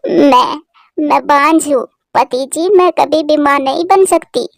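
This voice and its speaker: A woman voicing a gentle, sorrowful, self-sacrificing female bird wife in a cartoon, speaking in an earnest, emotional way. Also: high voice, unhurried, gravelly, no room sound, studio quality. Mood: tearful, despairing. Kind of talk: Hindi cartoon voice acting.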